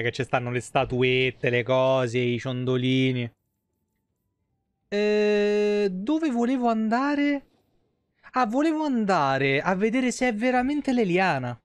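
A man's voice: on the high side, dry recording. A man, giving casual, colloquial, joking live game commentary. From Italy. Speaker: game streamer. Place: small indoor streaming room.

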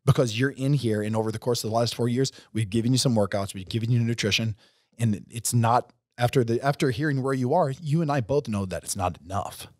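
A man's voice: medium pitch, brisk, darkish tone, dry recording. A man in his forties co-hosting a business podcast, talking emphatically like a coach giving a pep talk, on a studio mic in a gym. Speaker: podcast co-host. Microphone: studio mic on boom arm, headphones.

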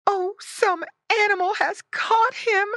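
A woman's voice: high-pitched, animated, measured pace, fairly bright tone, dry recording, voice pushed up. A woman voicing an anxious, motherly pig fretting over her children, in a storybook reading. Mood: frightened. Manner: fretful, exclaiming.

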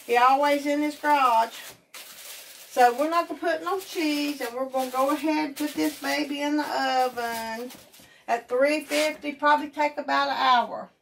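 A woman's voice: sits high, steady tone, moderate pace, gravelly, slight background noise, poor audio. A woman in her sixties with an Appalachian accent, hosting a casual cooking vlog in her home kitchen, folksy and teasing. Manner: folksy, teasing, laughs often. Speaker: home cooking show host.

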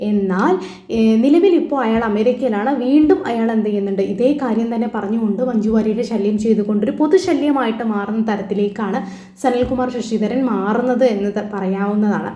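A woman's voice: fairly high pitch, brisk, dark tone. A woman giving informal news commentary to online viewers as the host. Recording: echoey, roomy voice-over.

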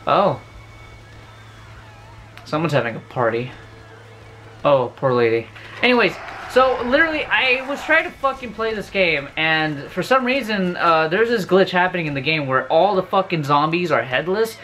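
Young man yelling at a game. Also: sits high, very animated, normal speed, slight background noise. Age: 20s. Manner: loud, profane, yelling at the game. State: losing his voice. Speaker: gamer. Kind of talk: let's play commentary. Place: bedroom, indoors.

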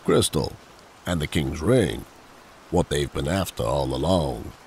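A man playing a gruff, blunt old man with a gravelly voice and a folksy way of talking in an audio drama. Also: rumbling low, animated, a little slow, a little mellow, a little hiss or hum, full character voice. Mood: grim, blunt. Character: gruff, plain-spoken old man, friend of a king.

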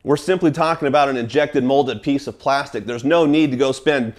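A man's voice: mid-range voice, brisk. An American man in his forties hosting a woodworking vlog in his small workshop, energetically recommending tools with frequent "uh" fillers. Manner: energetic, salesy, many "uh" fillers, gestures with products. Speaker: woodworking channel host. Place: small wood workshop indoors.

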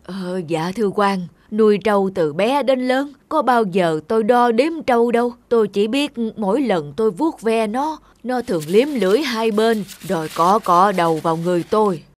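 A man playing a gentle, humble old peasant with a plaintive manner, in a cartoon folk tale. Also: high-pitched, lively intonation, normal speed, few pauses. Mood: humble, pleading. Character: gentle, distressed old male peasant devoted to his buffalo.

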